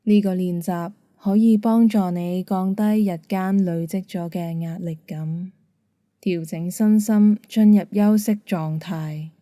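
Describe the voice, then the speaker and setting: A woman with a Hong Kong Cantonese accent, gently guiding a bedtime meditation in a calm, soothing manner. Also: on the low side, takes it slowly, no room sound, studio quality. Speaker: meditation guide. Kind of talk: guided bedtime meditation narration.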